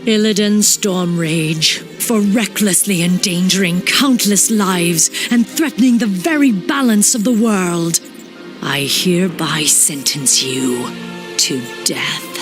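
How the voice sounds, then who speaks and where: A woman's voice: on the low side, slow pace, over music. An actress playing a stern, vengeful female night elf warden in a video game, grave and formally commanding. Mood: condemning. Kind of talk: dramatic video game voice acting. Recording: dramatic game score underneath.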